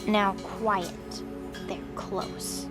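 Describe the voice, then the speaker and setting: A woman voicing a guarded, protective goddess in an audio drama, speaking in a hushed, measured manner. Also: mid-range voice, fairly even intonation, music in the background. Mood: hushed, wary.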